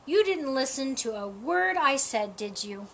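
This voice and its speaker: A woman's voice: sits high, animated, unhurried, gravelly, a bit bright, a little hiss or hum, full character voice. A woman voicing a worried, bossy small male animal in a storybook, insistent and cautioning. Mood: disapproving.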